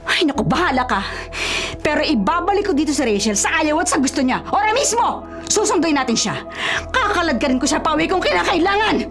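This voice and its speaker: A woman's voice: sits high, lively intonation, brisk, over music. An actress playing a domineering, money-minded middle-aged Filipino mother in a radio drama, scolding and exasperated in her delivery. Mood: determined, angry.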